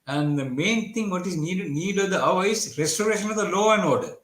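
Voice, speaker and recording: A man's voice: high-pitched, expressive, a little fast, a bit bright. A man from Sri Lanka, giving earnest interview answers with stumbling restarts. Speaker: guest commentator. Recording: echoey room audio.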